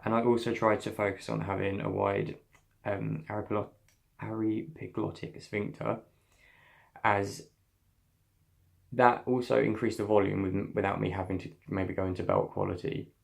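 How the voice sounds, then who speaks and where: A man's voice: level tone, moderate pace, vocal fry. A young man, an acting student, reflecting on his voice work in a scene, talking thoughtfully with many restarts. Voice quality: slightly deep voice.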